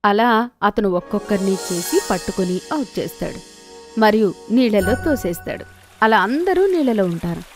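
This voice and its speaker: A woman's voice: mid-range voice, expressive, moderate pace, a little mellow. Female narrator, mid-range voice, scripted kids' storytelling, prominent music bed.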